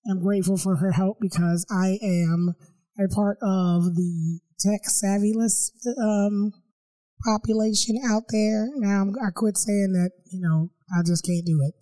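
A woman podcast host with a raspy, hoarse voice after illness, interviewing a guest with apologies and frequent fillers. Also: medium pitch, flat delivery, slow pace, dark tone, low-quality recording.

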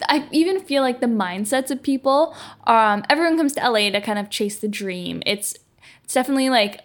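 A woman's voice: on the high side, animated, brisk. A young woman from Canada hosting a casual podcast chat, upbeat and gushing, with lots of filler words. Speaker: podcast host.

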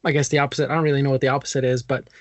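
A man's voice: mid-range voice, little pitch movement, very fast, no echo. A man hosting a podcast who interviews his guest thoughtfully, with hesitant restarts. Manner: hesitant, thoughtful, repeats words. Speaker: podcast host.

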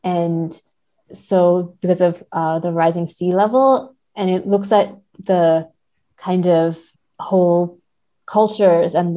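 A woman with a relaxed, dark-toned voice on the lower side talks as a guest on a book podcast. Her delivery is conversational and thoughtful, often tentative in how she phrases opinions. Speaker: podcast guest, literary translator. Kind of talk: casual book discussion.

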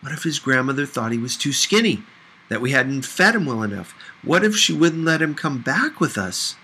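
A man reading a novel aloud as expressive scripted narration. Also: medium pitch, moderate pace, slight background noise. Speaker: audiobook reader.

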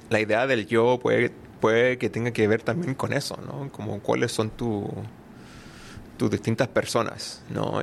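Gravelly-voiced Chilean man chatting. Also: mid-range voice, a little hiss or hum. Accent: Chilean Spanish. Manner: relaxed, laughs often, many tag questions. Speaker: writer, interview guest. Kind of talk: casual conversational interview.

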